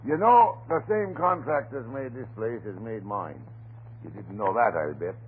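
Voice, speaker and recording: A man acts a folksy, blustering desk sergeant from a vintage radio play, talkative and stubborn. His delivery swings widely in pitch. The old recording is narrow and tinny, with some hiss. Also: lively intonation, moderate pace, natural voice. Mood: chatty, folksy. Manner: blustering, garrulous, folksy turns of phrase. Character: folksy, chatty, stubborn off-duty police desk sergeant neighbor. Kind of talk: old-time radio drama acting.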